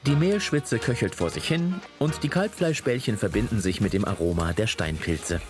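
Male narrator for food and travel TV: lowish voice, highly varied melody, music underneath. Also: lively intonation, normal speed. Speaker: narrator of a TV cooking travel show. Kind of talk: scripted voice-over narration.

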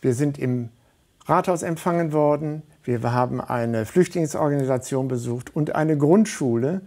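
A man's voice: a little high, moderate pace, a little mellow. A middle-aged or older man answering interview questions as a studio guest. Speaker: studio guest, volunteer organiser.

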